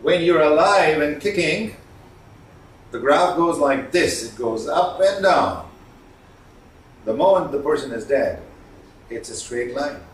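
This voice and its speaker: A man giving an instructional talk as a communication trainer, explaining with emphatic stressed words and pauses. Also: fairly high pitch, sing-song. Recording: echoey room, distant mic, faint noise.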